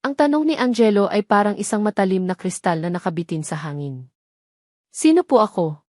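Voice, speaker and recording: Female narrator, Filipino accent, medium pitch, for sentimental scripted romance audio stories, recorded very dry. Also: brisk, a little mellow, dry recording.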